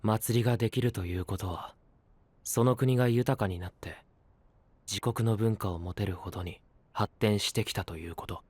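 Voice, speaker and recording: Low, husky, reserved young knight. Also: male voice, normal speed, darkish tone, no echo. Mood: brooding. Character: calm, reserved young male knight with hidden agenda. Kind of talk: Japanese anime-style voice drama acting.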